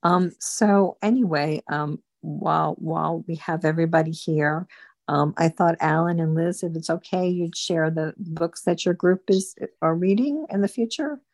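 A woman's voice: sits low, moderate pace, no echo. A woman hosting a book group in a casual, conversational way.

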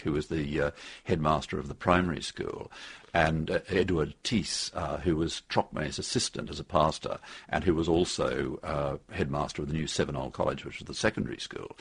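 An older man telling historical anecdotes in an interview, in a halting but emphatic, conversational way. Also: sits low, creaky, slightly rough. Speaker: author interviewed about his history book.

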